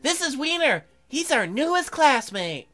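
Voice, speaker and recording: Man putting on a high, perky shark schoolgirl. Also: big pitch swings, unhurried, sharp tone, soft background music, full character voice. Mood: bright, polite. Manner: polite, formal, welcoming. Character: perky polite shark schoolgirl class president.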